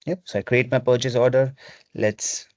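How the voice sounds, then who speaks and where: A man presenting a webinar, explaining software step by step in an instructional way over a video call. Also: medium pitch, steady tone, normal speed, dry recording. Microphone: video call microphone. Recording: dull, lo-fi video call audio.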